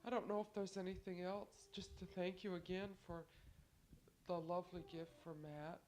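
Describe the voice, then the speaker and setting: A woman's voice: lowish voice, little pitch movement, normal speed, a little mellow, a little hiss or hum. A woman with a stuffy, congested nose recording a chatty, rambling spoken letter to family at home on a cassette recorder. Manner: chatty, rambling, musing aloud. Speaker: family member sending a taped letter.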